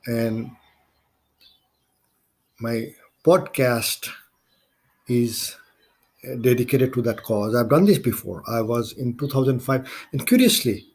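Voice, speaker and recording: A man from Nepal with a Nepali accent hosts a solo podcast, speaking in halting, deliberate phrases. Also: mid-range voice, a little mellow, slightly rough. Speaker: podcast host.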